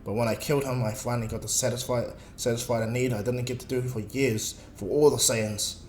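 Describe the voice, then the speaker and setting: A man's voice: medium pitch, steady tone, brisk, darkish tone, a little hiss or hum, close to an everyday voice. A man voicing a proud, scornful alien warrior prince from an anime, scoffing and clipped. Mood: smug. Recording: echoey room, music behind.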